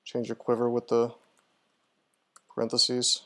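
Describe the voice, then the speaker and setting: Male streamer, medium pitch, casual live gameplay commentary, chatty, thinking aloud. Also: completely flat delivery, measured pace, sharp tone. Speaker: video game streamer. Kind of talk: casual live gameplay commentary, talking through moves and answering chat.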